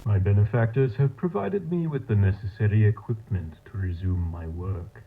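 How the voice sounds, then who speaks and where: An actor playing a coldly clinical mad scientist, dictating experiment logs in a formal, measured way for an analog horror audio drama. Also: male voice, on the low side, expressive. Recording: tinny, phone-like tape log sound.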